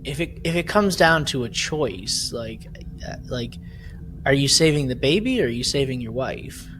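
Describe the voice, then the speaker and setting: A man co-hosting a podcast in casual chat, retelling things in an animated way and often checking for agreement. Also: mid-range voice, normal speed, a little hiss or hum. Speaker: podcast co-host.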